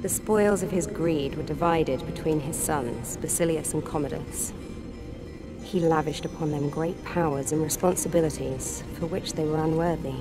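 An actress playing a mysterious, all-knowing goddess figure in a game cutscene, speaking in a measured, solemn, prophetic way. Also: female voice, deep voice, over music, lightly acted, low-quality recording. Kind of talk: video game cutscene acting.

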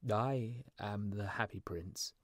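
A man voicing a gentle, sorrowful statue of a dead prince in a storybook, musical and mournful. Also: no room sound, studio quality. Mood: wistful, sorrowful. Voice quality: low, musical. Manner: slow, gentle, mournful, pleading.

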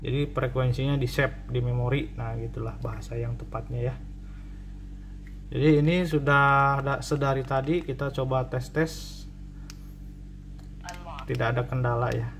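A man's voice: medium pitch, fairly even intonation, a little slow, long pauses, slight background noise. A man giving a casual hands-on tutorial as a repair technician, repeating and rephrasing as he explains. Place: indoor cluttered repair workbench.

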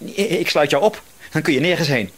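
An actor playing a paranoid, quietly menacing male shopkeeper in a Dutch radio drama, hesitant yet insistent. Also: fairly high pitch, slightly rough. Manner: hesitant, insistent, suspicious, drawn-out words.

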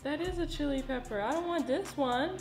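A woman's voice: high-pitched, a little fast. A young woman co-hosting a vlog with casual, playful banter. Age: young adult. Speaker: vlog co-host. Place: indoor room at home, at a table. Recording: roomy indoor echo, music underneath. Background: music.

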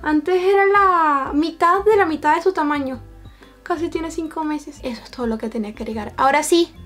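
A woman's voice: high voice, fairly quick, a bit bright, faint music behind. A woman in her twenties with a Venezuelan accent, chatting casually to camera as a streamer. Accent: Venezuelan Spanish. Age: 20s. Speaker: streamer and YouTuber reacting to memes and streams. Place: small bedroom with shelves, indoors. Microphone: camera-mounted shotgun microphone.

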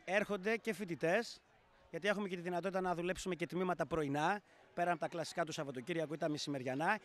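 A man in his thirties, director of a sailing school, being interviewed outdoors and explaining things in a measured way with hesitant fillers. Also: on the high side, fairly even intonation, fairly bright tone. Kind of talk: street interview. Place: outdoor café terrace in a town. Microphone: handheld reporter mic.

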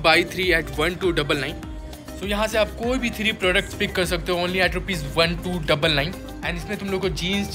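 Young man, Indian accent, slightly high voice, casual vlog narration, English words mixed in, music underneath. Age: young adult. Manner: mixes English words and phrases into his speech. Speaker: vlogger.

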